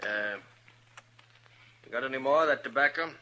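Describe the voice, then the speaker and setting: A man's voice: mid-range voice, moderate pace, natural voice. An American man playing a weary, folksy Old West drifter in an old-time radio western, with rustic, laconic speech. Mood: cautious, probing. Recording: noisy vintage radio recording.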